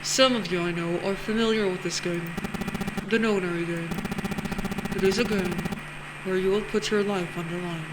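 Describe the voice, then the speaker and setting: A woman voicing a cold, eerie male-sounding captain who speaks over a ship's speaker with a harsh put-on foreign accent, slow and formal. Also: on the low side, fairly even intonation, natural voice. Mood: ominous. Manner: slow, formal, ominous announcements. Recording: noisy recording.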